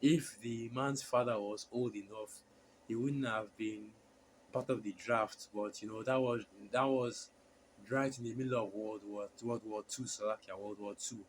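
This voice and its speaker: A man with a hoarse voice from a sore throat, preaching a sermon and reading scripture in a halting way, with many fillers and restarts. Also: fairly low pitch, steady tone, a little hiss or hum, low-quality recording.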